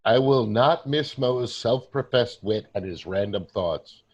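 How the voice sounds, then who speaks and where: A man's voice: mid-range voice, expressive, measured pace, breathy edge, highs cut off. A man in a staged play reading, playing a wry, disdainful, leering office worker.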